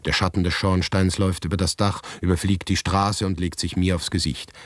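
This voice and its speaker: An actor playing a morbid, harried writer who narrates his grim predicament deliberately and dryly in a radio drama. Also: low voice, little pitch movement, no echo, lightly acted. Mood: grimly matter-of-fact. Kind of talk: literary radio drama monologue, first-person narration.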